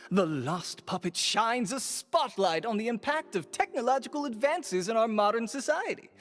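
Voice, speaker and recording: An actor playing a pretentious intellectual critic in a video game, earnest, long-winded and academic. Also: male voice, sits high. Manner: pompous, academic, wordy.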